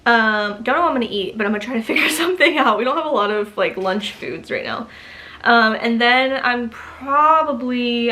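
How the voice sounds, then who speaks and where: A woman's voice: a little high, a bit bright. A young woman talking casually to camera about her small business, rambling with lots of ums, a bit stuffy from allergies. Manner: chatty, rambling, many ums and asides. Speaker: small business owner vlogging. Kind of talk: casual vlog chat to camera. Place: home studio office.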